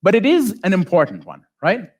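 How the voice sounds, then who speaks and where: Animated, higher-pitched man giving a tech talk. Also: moderate pace, husky. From lives in South Africa. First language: Arabic. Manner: informal, joking asides, rhetorical check-ins. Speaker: conference speaker, software developer. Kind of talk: conference talk to an audience.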